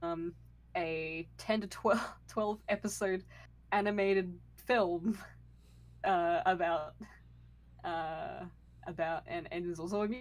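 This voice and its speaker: A woman's voice: on the low side, steady tone, slow pace, pauses often. Giggly woman chatting casually. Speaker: podcast guest, animated series creator. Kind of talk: casual chat.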